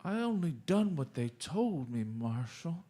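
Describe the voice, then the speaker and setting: A man playing a meek, good-natured simpleton drifter in a Western radio drama, halting and folksy. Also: fairly high pitch, very animated, mellow. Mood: meek, friendly.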